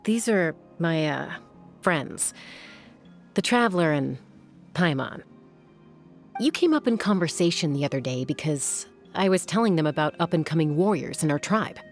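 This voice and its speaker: A woman's voice: sits low, lively intonation, normal speed. A woman playing a cool, perceptive young female warrior in an anime-style video game, casual and self-assured. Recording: music playing behind the voice.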